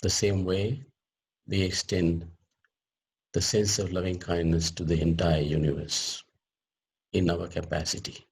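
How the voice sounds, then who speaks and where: A man leading a guided meditation as a teacher, speaking deliberately in short phrases with pauses between them. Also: sits low, level tone, gravelly, slightly rough.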